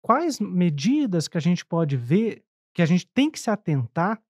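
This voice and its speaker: Low, warm-voiced woman co-host. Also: sing-song, unhurried, slightly rough, no echo, clean studio sound. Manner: hesitant, searching for words. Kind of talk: conversational discussion.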